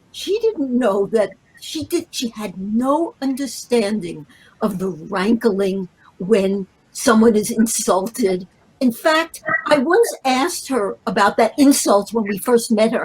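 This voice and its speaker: An older woman with a fairly high, very husky voice reminisces as a guest. She speaks haltingly and spontaneously, circling back to repeat her phrases. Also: a little mellow, poor audio. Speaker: panel guest, playwright.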